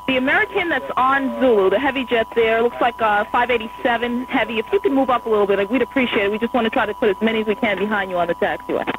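A woman air traffic controller in an airport tower, giving quick, polite instructions to pilots over the radio. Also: fairly high pitch, dull audio. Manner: brisk, polite and apologetic. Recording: noisy radio transmission with hum.

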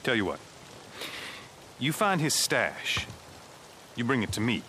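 An actor playing a controlling, menacing survivor camp boss in a video game, deliberate and pointed. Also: male voice, medium pitch, very animated, sharp tone, slight background noise, heavily acted. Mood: calculating, commanding. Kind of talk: naturalistic cinematic game acting.

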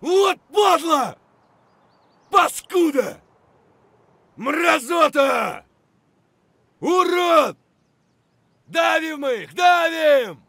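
An actor playing an aggressive, cursing Russian soldier in a military shooter game, with a rough, husky voice. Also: male voice, very high voice, big pitch swings, very slow, long pauses, sharp tone, no echo, raised voice, exaggerated voice. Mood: furious, taunting. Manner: barking, cursing. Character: aggressive, foul-mouthed Russian male soldier in combat.

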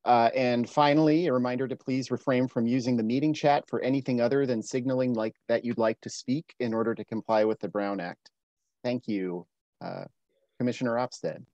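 A man on city staff reading out meeting procedures over a video call in a measured, scripted way. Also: mid-range voice, steady tone, a little mellow, dry recording. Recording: dull, dry video-call audio.

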